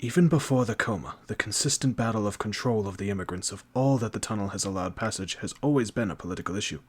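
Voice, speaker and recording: A serious prince, husky, low, earnest. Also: male voice, fast talker. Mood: grave. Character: young prince, serious and guarded.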